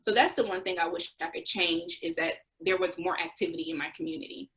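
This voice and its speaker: A woman's voice: lowish voice, fast talker, a bit bright. A young adult woman answering interview questions, recounting experiences as a former volunteer with restarts and occasional laughs.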